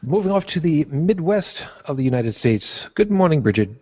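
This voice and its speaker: A man's voice: on the high side, moderate pace, slightly rough, dry recording. A man hosting an online panel show, casually introducing each guest over a video call. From born in Brooklyn, raised on Long Island. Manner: relaxed, chatty, introduces guests one by one. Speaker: host of a panel vlogcast. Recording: dry, muffled lo-fi audio.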